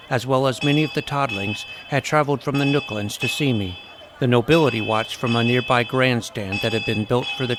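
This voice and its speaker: Clear music runs under a man as an earnest young hero telling his fantasy adventure. The narration is scripted, first-person and measured, in an audio drama storybook manner with a mid-pitched voice. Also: close to an everyday voice. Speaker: narrator and lead character.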